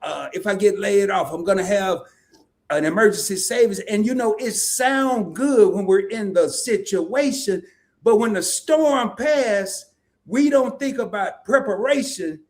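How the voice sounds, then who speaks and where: A man's voice: high-pitched, unhurried. A man hosting a casual interview chat, playful and slangy, often turning to address the audience. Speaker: host.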